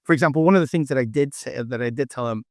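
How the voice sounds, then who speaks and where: A man's voice: medium pitch, racing, a little mellow, no echo. Mexican-accented man, close dry sound. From Mexico City, Mexico. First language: Spanish. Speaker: restaurant owner and chef, podcast guest. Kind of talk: conversational interview answers with anecdotes.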